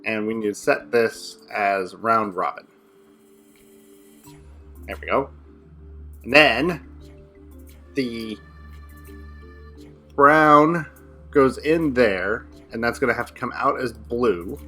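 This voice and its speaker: A man's voice: medium pitch, bright, forceful tone, faint music behind. A young man giving casual commentary as he plays a building game with a friend, talking himself through each step. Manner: rapid thinking aloud while building. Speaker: co-host playing a modded game.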